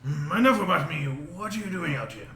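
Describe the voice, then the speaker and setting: A young man playing his character in a tabletop game, talking haltingly with many fillers, over an echoey call. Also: a little high, sing-song, a crowd in the background. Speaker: player voicing his character. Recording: echoey room with background noise.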